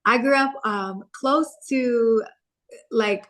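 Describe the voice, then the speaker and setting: A woman in her thirties from Chicago, a guest on a podcast, speaking calmly and reflectively with frequent ums. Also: a little high, slow pace. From Chicago, USA. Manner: calm, reflective, with frequent um and soft laughs. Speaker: podcast guest, content creator and author. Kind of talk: casual interview chat.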